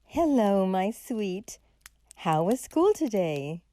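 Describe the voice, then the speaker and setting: A woman playing a gentle, doting farm mother in a radio drama, with a soft, nurturing manner. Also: medium pitch, very animated, slow pace. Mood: caring. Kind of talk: horror radio drama acting.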